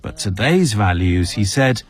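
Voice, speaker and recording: A man reporting as a news correspondent in a measured, scripted narration. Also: lowish voice, lively intonation.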